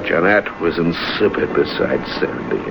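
An actor playing a despairing, educated drunken vagrant, weary and fatalistic, in old radio drama style. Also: male voice, low-pitched, lively intonation, unhurried, vocal fry, exaggerated voice. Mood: haunted, bitter. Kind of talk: 1940s-50s radio drama acting. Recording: noisy, muffled old radio recording.

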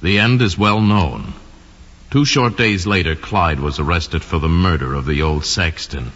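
An actor playing an ominous narrator of crime case histories, solemn and theatrical, in the style of 1940s radio drama. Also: male voice, deep voice, expressive, measured pace, a bit bright, heavily acted. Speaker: announcer and narrator. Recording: dull, reverberant old radio recording.

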